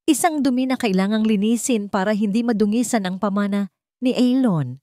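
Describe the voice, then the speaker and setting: A woman's voice: medium pitch, moderate pace, dark tone, no room sound, clean studio sound, voice pushed up, close to an everyday voice. A Filipino woman voicing a proud, cruel, wealthy old grandmother in a dramatic story, haughty and insistent. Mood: haughty, hateful. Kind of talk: dramatic storybook character reading.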